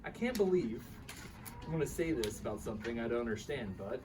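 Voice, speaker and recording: A man's voice: medium pitch, a little fast, lightly acted. A man playing an earnest, bemused karate student telling his partner a story in a live radio play reading. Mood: reflective, earnest. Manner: animated, chuckling, quotes his teacher's dialogue. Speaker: reader in a staged radio play. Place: live reading at a bookshop event. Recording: distant microphone with faint room noise.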